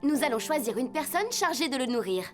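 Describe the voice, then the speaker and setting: Nice encouraging teacher lady in a kids cartoon, high lilting voice, loud music behind. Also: big pitch swings, brisk. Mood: kind, encouraging. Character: kind, encouraging schoolteacher. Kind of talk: dubbed children's cartoon acting.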